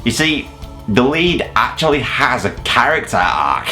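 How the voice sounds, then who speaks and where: A man's voice: medium pitch, sing-song, unhurried, bright, forceful tone, faint music behind. A man hosting a scripted countdown review, with casual swearing and mock-incredulous asides. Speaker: video reviewer and host.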